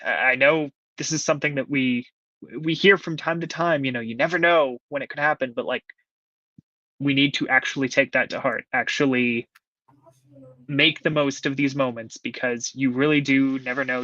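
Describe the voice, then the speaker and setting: A man's voice: mid-range voice, sharp tone, no echo. Earnest man on a dull dry podcast feed. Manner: earnest, reflective, many fillers. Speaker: podcast co-host. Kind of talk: casual podcast chat.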